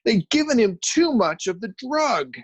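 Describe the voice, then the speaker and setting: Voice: mid-range voice, lively intonation, unhurried, creaky, breathy edge, dry recording, highs cut off, voice pushed up, full character voice. A narrator voicing a frantic, weeping old male journalist who whimpers and raves.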